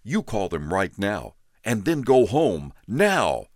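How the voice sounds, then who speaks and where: A man voicing a stern, quick-tempered father who is a police officer, speaking in clipped, snapping lines. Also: fairly low pitch, very animated, dry recording, studio quality, pitched above the performer's own voice. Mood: angry.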